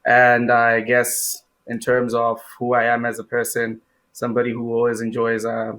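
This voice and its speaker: Man, young adult, from Zimbabwe, interview guest, monotone, hesitant, many fillers. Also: mid-range voice, moderate pace. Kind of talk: interview answers.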